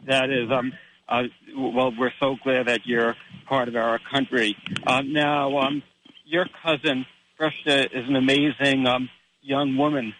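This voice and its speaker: An American man hosting a radio interview, speaking haltingly with many pauses. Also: mid-range voice, steady tone, fairly bright tone, a little hiss or hum, low-quality recording. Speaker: radio host.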